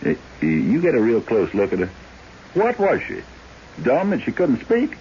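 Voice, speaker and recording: A man's voice: mid-range voice, huge pitch swings, normal speed, dark tone. An actor playing a plainspoken, wary lawman with a homespun drawl, in a radio drama. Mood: wary, musing. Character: folksy rural American lawman, plainspoken and wary. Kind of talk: old-time radio drama acting. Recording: dull, hissy radio drama recording.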